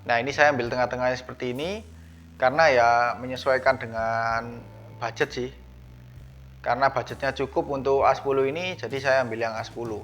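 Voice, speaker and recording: A man's voice: medium pitch, steady tone, fairly quick, fairly bright tone. A man narrating a product unboxing in a casual, conversational, explanatory way. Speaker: unboxing vlogger. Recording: dull lo-fi sound, music behind. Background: background music.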